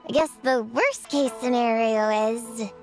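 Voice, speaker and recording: A woman's voice: a little high, unhurried. A woman voicing a precocious, earnest little witch girl in an anime game, childlike and bookish in manner. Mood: earnest lecturing. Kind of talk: anime video game voice acting. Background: background music.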